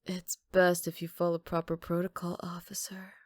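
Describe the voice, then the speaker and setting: Woman playing a soft, low-voiced flirty female villain. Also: completely flat delivery, no room sound, studio quality, natural voice. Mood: coy, mock-polite. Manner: sly, unhurried, mock-polite. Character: flirty, teasing, self-assured female villain in custody. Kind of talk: ASMR roleplay.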